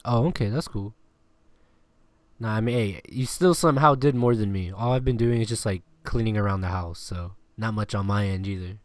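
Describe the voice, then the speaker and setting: A man's voice: fairly low pitch, normal speed, no echo. A man hosting a casual sports podcast, rambling and laughing often, with a stuffy nose and a lingering cough. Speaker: podcast host. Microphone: remote call recording.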